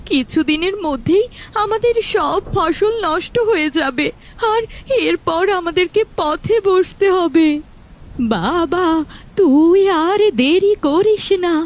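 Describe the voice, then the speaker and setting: A woman playing a worried, cautious older village mother in a cartoon fairy tale, fretful and admonishing. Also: very high-pitched. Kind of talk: animated fairy tale character acting.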